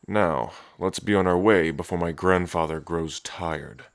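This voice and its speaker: A man's voice: a little slow, slight background noise. A man playing a cocky, teasing dragon prince and protective big brother in an ASMR audio drama. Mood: teasing, smug. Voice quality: deep, low. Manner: smug, flirtatious, teasing, sweary.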